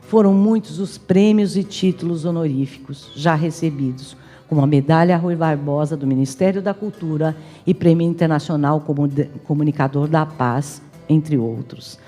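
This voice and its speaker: A Brazilian woman reading a formal prepared tribute speech in a measured, enumerating manner, as an academic addressing a conference. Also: deep voice, warm tone. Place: large hall at an academic conference. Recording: reverberant hall, music in the background.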